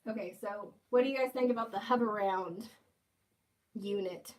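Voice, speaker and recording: A woman's voice: medium pitch, normal speed, darkish tone. A woman in her twenties hosting a casual livestream, reading chat comments and joking playfully with viewers. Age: 20s. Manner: playful, teasing, jokey asides. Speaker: livestream host.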